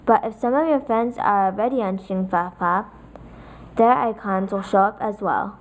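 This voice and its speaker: A woman presenting a software tutorial, reading from a script as narration. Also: mid-range voice, normal speed, a little hiss or hum, low-quality recording.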